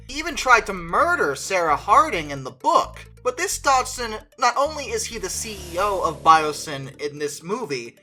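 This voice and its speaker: Bright, emphatic reviewer over music. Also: mid-range voice. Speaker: video essayist. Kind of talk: opinionated movie review commentary.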